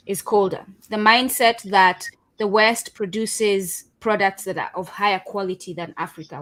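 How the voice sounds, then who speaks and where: A woman's voice: mid-range voice, a bit bright. Kenyan woman hosting a panel. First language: Kiswahili. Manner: earnest, preachy, says "um" and "uh" often. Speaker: host. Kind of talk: panel discussion on video call.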